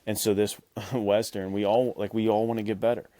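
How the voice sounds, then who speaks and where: Male podcast host, low-pitched, close dry sound, loose encouraging chat. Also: flat delivery, a little fast, mellow, dry recording. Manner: rambling, encouraging, heavy use of "like".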